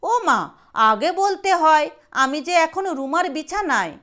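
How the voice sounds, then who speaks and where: A woman voicing a crafty mother who talks softly and affably to her sick daughter in an audio story. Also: sits high, big pitch swings, slow pace, full character voice. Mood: sly, affable. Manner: soft, affable, coaxing. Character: coaxing, crafty working mother of a sick girl.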